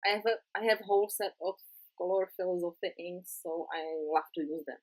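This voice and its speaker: A woman with a Czech accent, a non-native English speaker, narrating a card-making tutorial in a halting way with many pauses. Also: lowish voice, low-quality recording. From Czech Republic. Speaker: crafting tutorial host.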